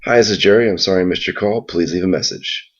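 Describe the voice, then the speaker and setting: A man presents a casual software tutorial. His voice is very low and husky, and he explains each step informally. The recording sounds dull and lo-fi. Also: quick pace. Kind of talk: casual spoken screen walkthrough.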